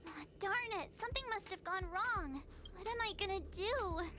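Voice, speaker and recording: Plucky teen game heroine, very high voice, expressive, exclaiming, music underneath. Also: female voice, moderate pace. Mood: worried. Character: plucky, expressive teenage girl adventurer. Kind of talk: video game voice acting.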